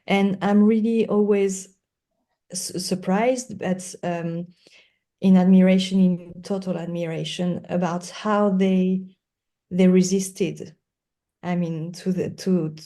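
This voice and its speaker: A woman who is not a native English speaker, talking as a podcast guest in an earnest, halting way with emphatic repetition. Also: mid-range voice, warm tone. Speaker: guest lawyer. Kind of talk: conversational podcast interview.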